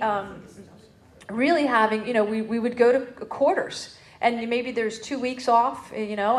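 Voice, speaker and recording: American lady in her 40s talking earnestly on a panel, highish voice, roomy echo. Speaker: panelist, educator. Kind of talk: unscripted panel discussion. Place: meeting room, indoors. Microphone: tabletop stand microphone. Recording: echoey meeting room.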